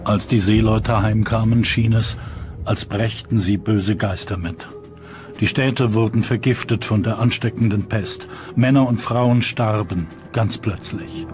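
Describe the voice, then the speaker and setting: Solemn low-voiced medieval chronicler, acted by a man. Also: fairly even intonation, a little slow, lightly acted. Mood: fearful testimony. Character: grave medieval chronicler bearing witness to the plague. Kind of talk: dramatic reading of historical testimony. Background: prominent background music.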